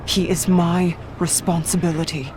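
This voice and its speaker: Actress as a low-voiced, grim warrior woman. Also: steady tone, normal speed, noisy background, lightly acted. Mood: somber. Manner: solemn, stoic, declarative. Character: grim, honor-bound female Norn warrior avenging her family. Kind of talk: dramatic video game cutscene acting.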